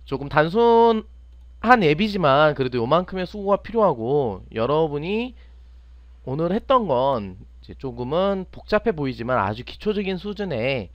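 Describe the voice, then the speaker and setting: Lively-pitched male tutorial instructor, slightly muffled. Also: no room sound. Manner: asks and answers own questions. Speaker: teacher, online programming instructor. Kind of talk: step-by-step screen tutorial, unscripted.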